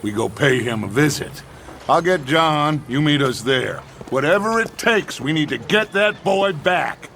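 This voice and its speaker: A man playing a charismatic, grandiose American outlaw gang leader in a western video game, speaking in a measured, silver-tongued way. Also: a little high, animated, vocal fry, a bit bright, slight background noise, full character voice. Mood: resolute.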